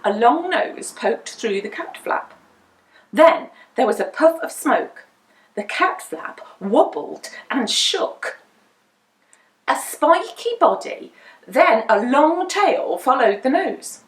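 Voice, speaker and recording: A woman reading a children's picture book aloud as a storyteller, with dramatic, deliberate emphasis. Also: high voice, very animated. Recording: roomy indoor echo.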